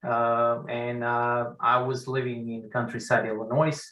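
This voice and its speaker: A man's voice: mid-range voice, level tone, measured pace, sharp tone, roomy sound, rough recording. A man with a Macedonian accent introducing himself to a class, hesitant with many uhs. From North Macedonia. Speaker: student.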